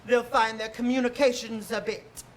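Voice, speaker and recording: A woman's voice: fairly high pitch, fairly even intonation, normal speed, slight background noise, natural voice. A woman playing a grandiose, scheming male starship villain in a theatrical musical parody, pompous in delivery. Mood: gloating. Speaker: stage actor in a musical parody. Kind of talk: theatrical comic musical reading. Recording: live stage reading with music.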